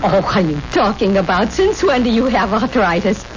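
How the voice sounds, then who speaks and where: An actress playing an anxious, flustered wife who stammers and pleads, in 1970s radio drama style. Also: female voice, mid-range voice, big pitch swings, brisk, over music, close to an everyday voice. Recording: muffled, very noisy old radio broadcast.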